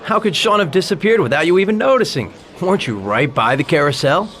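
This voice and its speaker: An actor playing a businesslike police investigator who presses with questions, in a dramatic video game. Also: male voice, high-pitched, animated, fast, fairly bright tone. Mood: pressing. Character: brisk, probing male police investigator.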